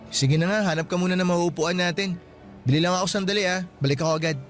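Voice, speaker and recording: A man's voice: high voice, steady tone, fast, soft background music, natural voice. An actor playing a young male student boyfriend in a radio drama, playful and teasing but frank when he turns serious. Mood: concerned.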